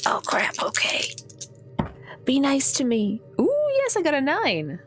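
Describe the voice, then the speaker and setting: A woman's voice: sits high, measured pace, faint music behind. A woman playing a tabletop roleplaying game, chatting playfully and full of exclamations. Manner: animated, exclamatory. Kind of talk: casual playful game chat.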